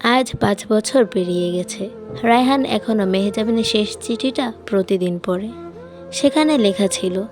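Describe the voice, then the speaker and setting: A woman narrating a sad love story as a scripted voice-over, reading softly and with feeling. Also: medium pitch, a little slow, soft background music.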